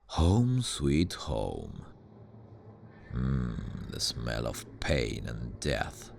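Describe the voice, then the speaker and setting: A man playing a sardonic demon guardian of hell in a slow ASMR roleplay, smug and dryly menacing, with a very deep, gravelly voice. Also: sing-song, darkish tone, heavily acted. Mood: dark relish. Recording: noisy ambient sound bed. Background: hellish ambience.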